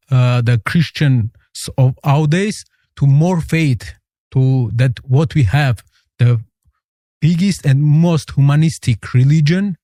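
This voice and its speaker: A man with an Armenian accent, a guest answering interview questions in earnest, halting English. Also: medium pitch, warm tone, no echo, clean studio sound. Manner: earnest, halting, searching for words.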